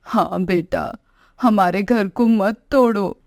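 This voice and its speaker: A woman voicing a gentle, consoling old village mother in a cartoon moral story, soothing and affectionate. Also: mid-range voice, takes it slowly, no room sound, clean studio sound, pitched below the performer's own voice. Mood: pleading.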